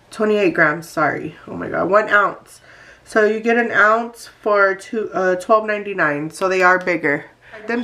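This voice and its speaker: A woman's voice: mid-range voice, fairly bright tone, a little hiss or hum. A woman with a congested, nasal voice from allergies, chatting casually to her viewers as she unboxes nail products. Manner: chatty, talks straight to viewers. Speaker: beauty vlogger.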